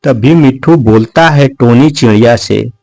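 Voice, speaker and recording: A man's voice: medium pitch, measured pace, vocal fry, a little mellow, no echo. A man giving scripted narration for a children's animal story.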